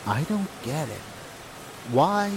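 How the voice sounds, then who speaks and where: A man's voice: fairly high pitch, sing-song, takes it slowly, exaggerated voice. A man acting a plain, good-natured, folksy factory worker in a 1940s-style radio drama. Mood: puzzled. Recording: clear background noise.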